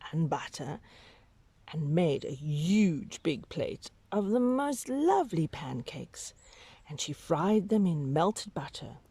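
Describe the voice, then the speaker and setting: A woman reading a picture book aloud to young children, with dramatic, drawn-out emphasis and hushed whispery moments. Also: low voice, slightly rough, dry recording.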